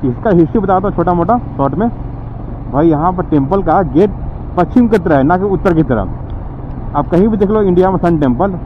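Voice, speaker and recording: A man, talking to viewers in a relaxed, chatty way as he rides his motorbike on a road trip. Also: a little high, dull audio. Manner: chatty, addresses viewers as bhaiya. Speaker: motovlogger. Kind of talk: casual road-trip commentary. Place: outdoors on a highway, riding a motorbike. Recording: noisy road and traffic outdoors.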